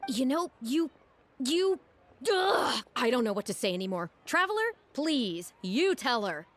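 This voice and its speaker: A woman's voice: on the high side, big pitch swings, unhurried. A woman voicing an anxious, easily exasperated young female opera troupe manager in a video game, flustered and pleading.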